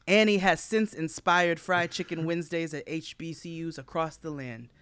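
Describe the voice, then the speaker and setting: A man's voice: fairly high pitch, normal speed, a bit bright, smooth. Mock-solemn comic storyteller man. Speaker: podcast co-host. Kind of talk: comic tall-tale storytelling.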